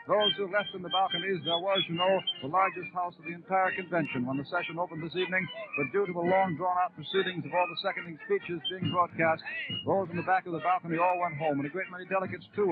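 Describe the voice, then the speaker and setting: A man giving formal, descriptive live radio commentary from a big convention hall in 1930s style. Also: high-pitched. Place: large noisy convention hall. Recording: tinny old 1930s radio broadcast, band music behind. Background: band music and demonstration noise.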